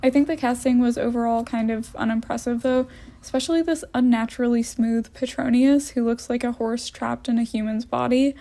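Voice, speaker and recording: A woman's voice: on the high side, steady tone, brisk, warm tone. A young woman giving a casual, wry voice-over review of films for her art vlog. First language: English. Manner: wry, opinionated.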